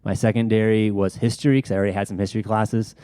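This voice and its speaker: Relaxed, mellow-voiced man hosting. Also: on the low side, racing, smooth, no echo, clean studio sound. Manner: relaxed, self-deprecating, laughs along. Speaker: podcast host. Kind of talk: casual discussion.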